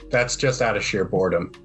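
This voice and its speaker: A man with a slightly low voice speaks over music. He improvises a tabletop game in a casual, halting way, often doubling back on his words. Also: bright, forceful tone. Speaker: game master and player. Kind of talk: improvised tabletop roleplay narration and casual chat. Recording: music playing under the voice.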